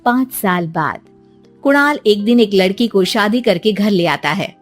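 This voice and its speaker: A woman's voice: medium pitch, expressive, a little slow, soft background music. A woman reading scripted story narration as a storyteller for a cartoon tale.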